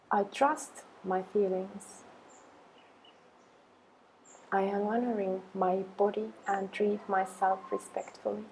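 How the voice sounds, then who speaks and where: A woman leading a guided affirmation meditation, speaking in slow, measured phrases with long pauses. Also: medium pitch, creaky, mellow, slight background noise, poor audio.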